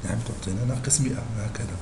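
A male math teacher with a Moroccan Arabic accent, hoarse and husky from a cold, explaining a lesson slowly and patiently and checking in with his students. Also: lowish voice, background noise. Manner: slow and patient, checking in with listeners. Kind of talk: screen-recorded math lesson.

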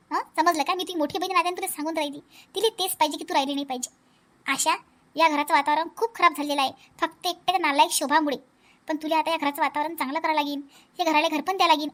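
A protective elder sister-in-law acted by a woman with a very high, rapid voice. She is outspoken and emphatic, repeating her points in a Vidarbha Marathi accent, as in a family cartoon. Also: fairly bright tone. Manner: emphatic, repetitive, advising. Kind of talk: animated family comedy acting.

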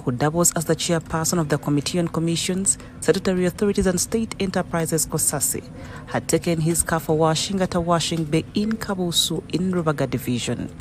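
A man reads a news package in a measured, formal cadence at a mid pitch. There is a faint hiss of noise under his voice. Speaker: news reporter. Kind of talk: scripted news voice-over.

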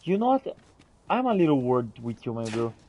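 A man's voice: mid-range voice, sing-song, brisk, mellow. A man playing a fantasy role-playing game, talking in character to his companions as he comments. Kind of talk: improvised in-character gameplay commentary.

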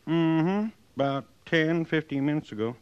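An actor playing an easygoing older hotel clerk called Pop, talking in a rambling folksy way in a 1950s radio drama. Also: male voice, on the high side, a little slow, clean tone, natural voice. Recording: lo-fi old radio recording.

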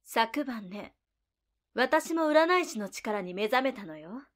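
A woman's voice: a little high, lively intonation, slow. A woman playing a cool, alluring, defiant woman in a voice drama, with a teasing, condescending manner. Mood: cool, challenging. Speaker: voice actor. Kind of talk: anime-style voice drama acting.

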